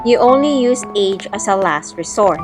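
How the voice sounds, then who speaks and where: Brief: female actor as spirited young woman, affectionate and teasing, audio drama dialogue over clear background music. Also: mid-range voice, expressive, moderate pace, close to an everyday voice. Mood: teasing, indignant. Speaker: first-person narrator. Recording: music bed behind voice.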